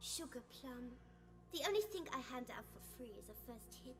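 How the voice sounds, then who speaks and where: A woman's voice: high voice, animated, moderate pace. A woman playing a sly, mercenary street dealer in a fantasy video game, with a condescending, sugary manner. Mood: sly haggling. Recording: music behind the voice.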